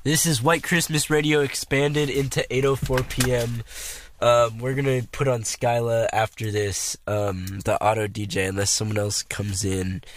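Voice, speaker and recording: A young man hosting a casual college radio show, laughing easily and filling pauses with ums. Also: little pitch movement, slight background noise. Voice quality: higher voice. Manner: laid-back, laughing, filler-heavy.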